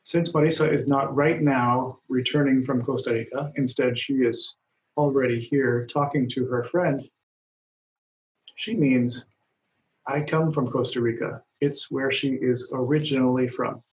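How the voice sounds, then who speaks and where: A man teaching an English grammar lesson, speaking slowly and deliberately, and raising his voice when he reads example dialogues aloud. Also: mid-range voice, steady tone. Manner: patient, deliberate, lifts his voice when reading quoted lines. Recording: dull, roomy sound from a distance.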